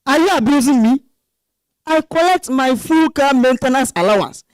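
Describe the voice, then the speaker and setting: A woman's voice: sits high, normal speed, darkish tone, dry recording, close to an everyday voice, poor audio. A woman with a Ghanaian accent playing a proud, indignant husband and senior civil servant in a stage reading, stiff and challenging.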